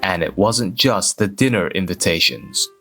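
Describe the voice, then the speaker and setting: A man playing a reserved, awkward office worker who narrates his own manga-dub story in a measured, deadpan way. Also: deep voice, soft background music, heavily acted. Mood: measured, wistful. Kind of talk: scripted first-person narration in a manga dub.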